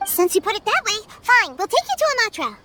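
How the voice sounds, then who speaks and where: A woman voicing a tiny, chatty, curious childlike girl companion in a video game, with a squeaky voice. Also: huge pitch swings, quick pace, heavy background noise, heavily acted. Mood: chatty, concerned.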